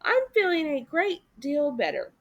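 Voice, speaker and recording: A woman's voice: sits high, huge pitch swings, a little slow, muffled recording, pitched above the performer's own voice, full character voice. A woman voicing a boastful, reckless male toad from a storybook, with a dramatic, exclamatory delivery. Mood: feigned meekness.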